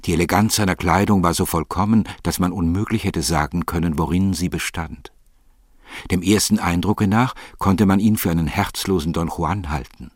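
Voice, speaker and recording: A man with a husky voice narrating literary fiction in a measured, solemn manner. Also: low voice, no echo, clean studio sound.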